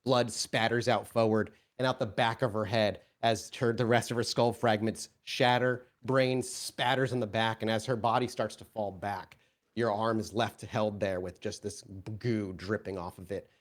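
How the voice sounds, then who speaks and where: A man's voice: on the low side, fairly even intonation, normal speed. A man working as game master, improvising vivid narration and explaining rules in a conversational way.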